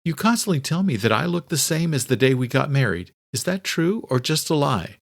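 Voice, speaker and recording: A man voicing an earnest, quick-thinking adult woman in an audiobook, explaining things insistently. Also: fairly high pitch, big pitch swings, gravelly, pitched above the performer's own voice, exaggerated voice. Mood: uneasy, curious. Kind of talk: audiobook character dialogue.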